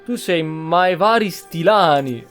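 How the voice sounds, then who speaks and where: Higher-pitched Italian man commenting on a game. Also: animated, measured pace, a bit bright, clean tone, soft background music. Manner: muses aloud, repeats and translates dialogue. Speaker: gameplay streamer.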